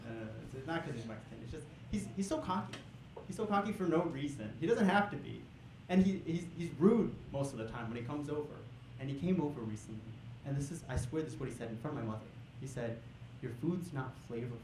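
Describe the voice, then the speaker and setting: A young man works a comedy club stage with a handheld mic. He riles up the crowd, then slides into low, deadpan storytelling. The sound rings around a roomy space with steady audience noise underneath. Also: fast talker. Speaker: stand-up comedian. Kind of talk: stand-up comedy set.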